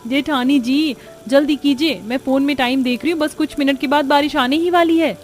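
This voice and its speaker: A woman's voice: high-pitched, moderate pace, soft background music, pitched above the performer's own voice, lightly acted. A woman voicing a breezy, argumentative young daughter-in-law in a cartoon story. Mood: eager.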